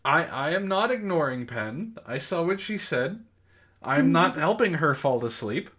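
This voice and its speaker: A man's voice: fairly high pitch, big pitch swings, unhurried, a bit bright. A man reading bad fan fiction in mock-dramatic style, with exasperated, sarcastic asides. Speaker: reader on a comedy book club show.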